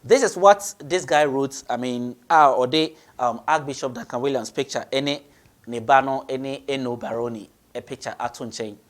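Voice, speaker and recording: A male radio host with a Ghanaian accent and a medium-pitched voice. He delivers opinionated commentary in an emphatic way, repeating phrases for stress. His talk moves back and forth between two languages. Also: moderate pace. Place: radio studio.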